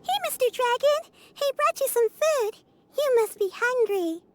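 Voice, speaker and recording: Very high-pitched little girl, noisy background. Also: lively intonation, unhurried. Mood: eager, friendly. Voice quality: childlike, high. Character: small kind-hearted girl, friend of a dragon. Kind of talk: animated game voice acting.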